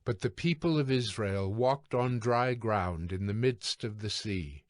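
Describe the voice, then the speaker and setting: A man narrating scripture as scripted audio, with formal, measured storytelling. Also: on the low side, dry recording.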